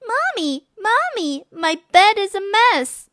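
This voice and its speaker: A woman voicing an excited little girl who pleads in a shrill, breathless way. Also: slow pace, dry recording, clean studio sound, exaggerated voice.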